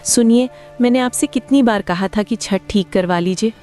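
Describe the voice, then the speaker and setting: A woman's voice: a little high, normal speed, dark tone, faint music behind, natural voice. A woman playing the worried wife of a poor labourer in a cartoon moral story, pleading and complaining. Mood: upset, reproachful.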